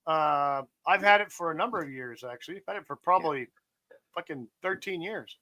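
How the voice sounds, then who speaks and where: Profane high-voiced ranting man. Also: fairly quick, bright, forceful tone, rough recording. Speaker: podcast host. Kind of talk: casual political chat.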